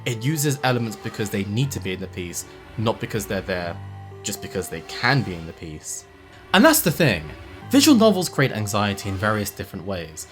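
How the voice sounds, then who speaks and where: A video essay host narrating analysis with casual asides, in an emphatic, conversational way. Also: mid-range voice. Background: music under the voice.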